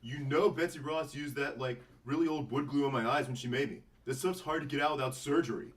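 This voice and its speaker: A man's voice: medium pitch, brisk, recorded from a distance, natural voice. A man voicing a brash, hot-headed male puppet with a blustery, confrontational manner at a sitcom table read. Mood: jealous, indignant.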